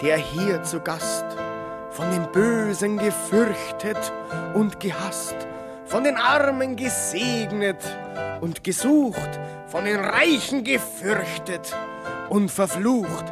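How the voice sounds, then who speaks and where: An actress playing a solemn ballad reciter, delivering theatrical verse in a radio drama. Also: female voice, mid-range voice, expressive, slow. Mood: solemn, dramatic. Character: solemn female ballad singer-reciter praising an angel of the poor. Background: plucked guitar music.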